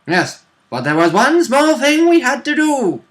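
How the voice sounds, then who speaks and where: A man's voice: extremely high pitch, big pitch swings, a little slow, a bit bright. A man who plays tabletop games, chatting casually and animatedly about a session, swearing freely. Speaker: tabletop player. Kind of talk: casual chat recapping a tabletop game.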